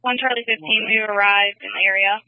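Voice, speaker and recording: A man's voice: high-pitched, fairly even intonation, brisk, no room sound. A man working as a patrol officer, reporting over a tinny, narrow-band police radio.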